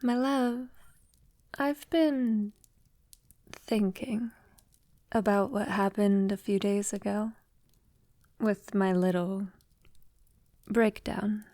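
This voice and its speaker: Woman acting as female vampire lover, centuries old, affectionate, refined, soft and breathy, unhurried, intimate ASMR roleplay, dry close sound. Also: mid-range voice, no room sound. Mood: hesitant, apologetic. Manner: soft, tender, unhurried, often breathy near-whisper; formal, elegant wording.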